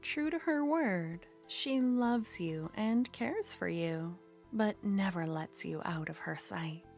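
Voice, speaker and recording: Slow soft-spoken woman narrating ASMR. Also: medium pitch, animated, faint music behind. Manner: slow, soft, partly whispered delivery. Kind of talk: second-person ASMR story narration.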